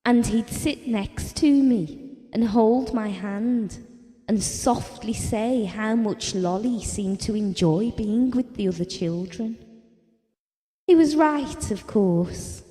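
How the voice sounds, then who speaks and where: An actress playing a gentle, devoted, self-doubting middle-aged British wife and mother, reminiscing softly in an audio drama. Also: fairly high pitch, slow pace. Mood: tender, wistful. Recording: reverberant hall-like room.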